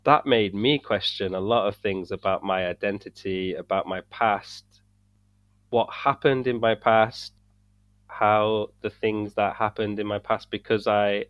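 A man from the UK, a podcast guest, talking in an earnest, measured way and searching for words at times. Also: on the low side, fairly even intonation, clean tone, dry recording. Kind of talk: casual discussion. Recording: dull dry sound.

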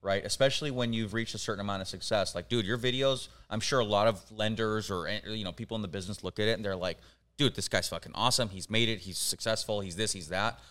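Young man, podcast co-host, laid-back, slangy, low pitch, clear voice. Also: fast, a bit bright. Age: young adult. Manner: laid-back, slangy, says dude a lot. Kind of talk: casual podcast interview.

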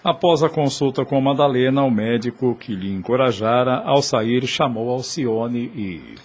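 A man with a Brazilian accent and a husky voice, giving scripted dramatic narration for a radio soap. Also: medium pitch, unhurried. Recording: music bed under the voice.

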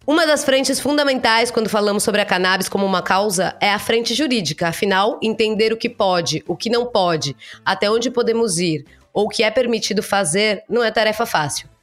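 A woman's voice: medium pitch, fairly quick, few pauses, faint music behind. A woman with a Brazilian accent who speaks as the host of an interview podcast.

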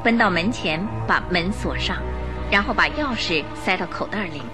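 A woman narrating a radio drama in an expressive, measured, scripted storytelling style. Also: lowish voice. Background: music under the voice.

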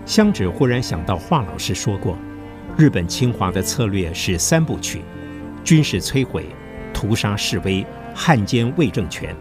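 A man's voice: on the low side, very animated, normal speed. A man narrating a scripted historical story for an audio drama. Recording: music behind the voice. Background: clear background music.

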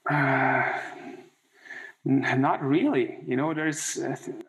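A man's voice: medium pitch, takes it slowly, fairly bright tone, poor audio. A middle-aged man, a former hockey player, talking in a casual interview with many restarts and a lot of "you know.". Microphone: remote call.

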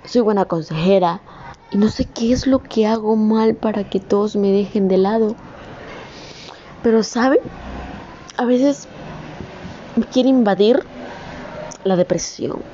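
A woman's voice: mid-range voice, a little slow, pauses often, warm tone, dull audio, slight background noise. A young woman, a communications student, talking in a rambling, earnest personal vlog that rises into emphatic scolding. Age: young adult.

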